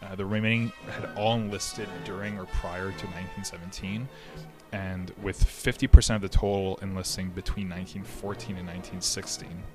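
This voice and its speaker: A man's voice: sits low, little pitch movement, moderate pace, over music. A man hosting a history podcast, explaining events in a casual way, with frequent fillers and restarts. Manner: conversational, many fillers and restarts.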